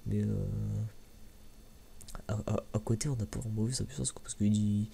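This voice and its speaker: A man gives a casual, rambling ASMR-style commentary in a soft, hushed, hesitant voice, often repeating words. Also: on the low side, fairly even intonation, moderate pace. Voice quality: soft, hushed voice, sometimes near a whisper. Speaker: host of an anime review video. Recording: clearly audible background noise.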